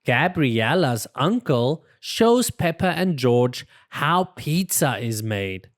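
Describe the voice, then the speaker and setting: An expressive storybook narrator for young children speaks at a slow, careful pace with a very lively range. The delivery is deliberate and clearly shaped for reading aloud, in a dry recording. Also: mid-range voice, no room sound. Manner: expressive, deliberate, voices the characters. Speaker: storybook reader.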